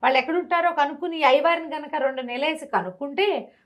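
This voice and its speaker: A woman telling a devotional story in lively, conversational Telugu speech, often repeating words and restarting. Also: fast. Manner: lively, rises and drops in pitch and volume.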